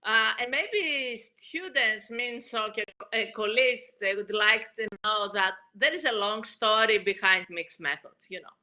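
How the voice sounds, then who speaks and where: A woman from Greece with a Greek accent, a researcher speaking on a panel, halting with many fillers and non-native phrasing. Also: fairly high pitch, bright, forceful tone, lo-fi sound. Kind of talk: panel discussion.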